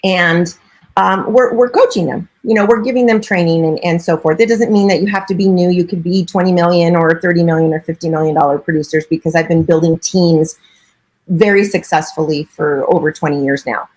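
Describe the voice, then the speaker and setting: A woman's voice: fairly low pitch, fairly quick, darkish tone. A middle-aged woman, a real estate broker and coach, giving chatty, earnest interview answers with frequent filler phrases.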